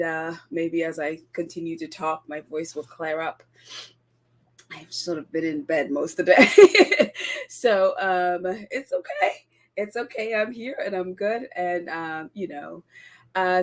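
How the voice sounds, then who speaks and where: A woman hosting a casual solo podcast, her voice croaky and congested from a cold, chatty with frequent laughs and ums. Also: lowish voice. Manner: chatty, giggly, lots of ums. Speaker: podcast host.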